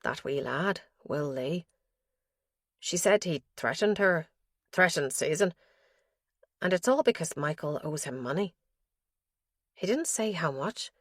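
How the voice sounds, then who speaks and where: A woman's voice: low-pitched, lively intonation, unhurried, pauses often, no echo, clean studio sound, exaggerated voice. A woman voicing an elderly father who pours his fears about his son into a diary, anxious and guilt-ridden.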